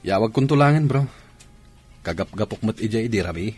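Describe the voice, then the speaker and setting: An actor with a northern Philippine accent playing an easygoing soldier in conversational radio drama dialogue, his voice husky. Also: lowish voice, lively intonation, brisk, darkish tone. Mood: casual. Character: easygoing male soldier about to be deployed.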